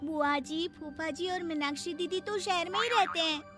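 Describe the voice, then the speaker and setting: A woman plays an eager, naive village girl from a cartoon moral tale. Her voice is very high and bright, with a childlike, chirpy delivery and faint music underneath. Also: normal speed. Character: excitable, naive, boastful village schoolgirl, eager for the city. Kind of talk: Hindi moral-story cartoon dubbing.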